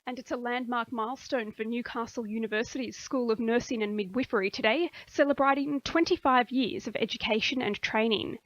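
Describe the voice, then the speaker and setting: Higher-pitched Australian woman reading radio news. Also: fairly quick, hardly any pauses, highs cut off. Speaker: radio newsreader.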